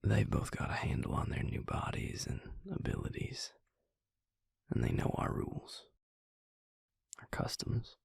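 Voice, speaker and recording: A man playing a brooding vampire maker in an intimate audio roleplay, speaking haltingly and reflectively in a husky, gravelly whisper. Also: a little mellow, no room sound, close to an everyday voice. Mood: reflective, tender. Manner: halting, reflective, low-key. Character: brooding male vampire maker confiding in his mate.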